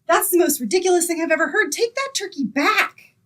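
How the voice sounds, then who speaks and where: A woman's voice: very high-pitched, animated, a little fast, bright, forceful tone, full character voice. A woman playing a sensible, long-suffering young roommate in an old-time radio comedy, with a dramatic, wry manner. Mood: frantic, exasperated.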